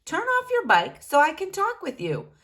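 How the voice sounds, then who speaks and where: A woman's voice: extremely high pitch, wildly swooping pitch, normal speed, a bit bright, voice pushed up, exaggerated voice. A woman voicing a grumpy, solitary male camper in a children's storybook, huffy, exclaiming and easily exasperated. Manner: huffy, exclaiming, protective of privacy. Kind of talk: children's storybook read-aloud.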